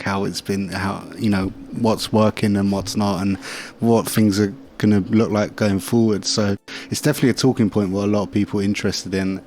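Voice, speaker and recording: A man's voice: lowish voice, darkish tone, slight background noise. A man with an English accent in a casual, slangy chat. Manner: slangy, informal. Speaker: talk show participant.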